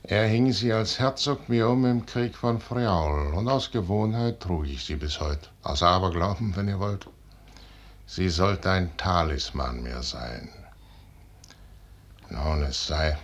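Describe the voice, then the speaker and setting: An actor playing a proud, weary, fatalistic aging general in a grand, measured 1950s radio drama style. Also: male voice, sits low, animated, heavily acted. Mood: weary, musing. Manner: measured, grand, dismissive, declaiming. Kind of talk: 1950s German radio drama stage acting. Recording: noisy 1950s radio recording.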